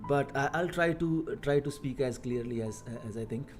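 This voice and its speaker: A man in his thirties from India with an Indian accent, talking casually on a coding live stream, halting with many fillers and repeated words. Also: mid-range voice, soft background music. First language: Hindi. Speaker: live-streaming software engineer.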